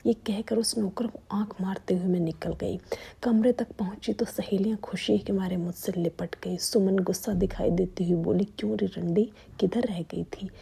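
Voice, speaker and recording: A young woman narrating a story in a steady hushed whisper. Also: medium pitch, normal speed, creaky, breathy edge.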